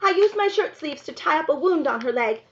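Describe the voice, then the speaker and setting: A woman's voice: high voice, lively intonation, a little fast, pitched above the performer's own voice. A woman reading the part of an earnest, defiant young country girl in a storybook, pleading and insistent. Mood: anxious, pleading. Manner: pleading, insistent, breathless run-on sentences. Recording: slightly dull, lo-fi sound.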